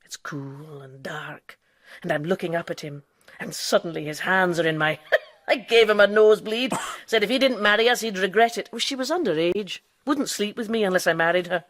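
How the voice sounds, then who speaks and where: A woman's voice: fairly low pitch, normal speed, fairly bright tone, dry recording, close to an everyday voice. An actress in a radio drama playing an impudent, boastful female quack doctor who lives as a man. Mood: sly, gossiping. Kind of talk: radio drama acting with narration.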